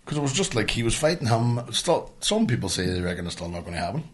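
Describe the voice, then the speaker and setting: A man with a Northern Irish accent co-hosting a podcast in casual, sweary banter, blunt and colloquial and quick to argue. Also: on the low side, sing-song, a little hiss or hum. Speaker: podcast co-host.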